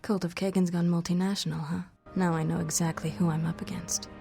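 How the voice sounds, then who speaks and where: An actress playing a cocky, sardonic half-vampire warrior in a video game, with dry, teasing confidence. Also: female voice, lowish voice, little pitch movement, brisk, gravelly, dark tone, faint music behind. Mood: cocky, taunting. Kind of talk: video game cutscene acting.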